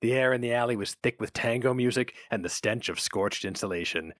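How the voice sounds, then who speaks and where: A man's voice: medium pitch, a little fast, fairly bright tone, dry recording, clean studio sound. A man reading a story aloud as its narrator in a dramatic, scripted style.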